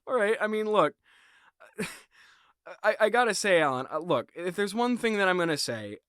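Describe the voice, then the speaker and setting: Awkward manager played by a man for comedy sketch: slightly high voice, stammers and backtracks, dry close recording. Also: big pitch swings, normal speed, fairly bright tone, clear, resonant tone, no echo. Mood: flattering, nervous. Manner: stammering, hedging, repeats himself. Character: flustered, awkward male restaurant boss, easily swayed. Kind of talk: improvised comedy sketch acting.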